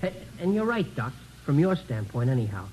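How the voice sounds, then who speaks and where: A man's voice: medium pitch, sing-song, a little fast, warm tone, slight background noise, natural voice, poor audio. An actor playing a talkative, down-on-his-luck American janitor and father in early 1950s radio comedy-drama, rambling, stammering and self-deprecating. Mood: humble, resigned.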